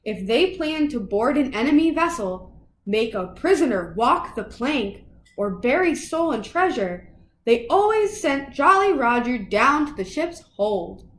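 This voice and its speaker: Woman, high voice, expressive children's storybook read-aloud, dramatic phrasing, roomy echo. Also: measured pace.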